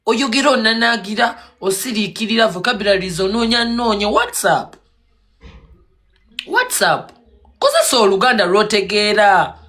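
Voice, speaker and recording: A man on a live stream rants in a scolding, mocking tone, firing one accusation after another. His voice sits high for a man. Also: big pitch swings, moderate pace, pushed and bright. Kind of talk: heated rant on a live stream.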